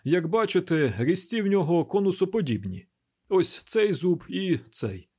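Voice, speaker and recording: A man's voice: high-pitched, sing-song, normal speed, darkish tone, slightly rough, pitched above the performer's own voice, full character voice. A man voicing a testy, exacting chief medical examiner in an audiobook, formal and precise in manner. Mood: lecturing.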